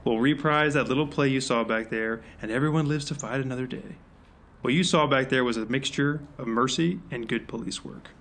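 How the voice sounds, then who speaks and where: A man's voice: medium pitch, a little fast, a bit bright, close to an everyday voice. Man acting a curt, commanding field agent. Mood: irritated, cynical. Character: stern, cynical male field agent in command. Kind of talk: audio drama acting.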